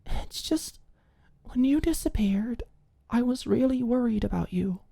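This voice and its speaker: A woman voicing a caring young woman in an audio drama, calm, apologetic and hushed, with a slight rasp. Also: a little high, unhurried, dry recording, voice pushed up, heavily acted. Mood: soft, apologetic. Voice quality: soft, slightly raspy.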